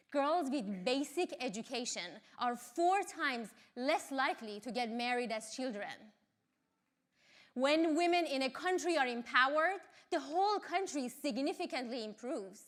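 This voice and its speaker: Slow, earnest woman. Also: sits high. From Iran. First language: Farsi. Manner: earnest, measured delivery. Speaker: speaker. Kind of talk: motivational talk.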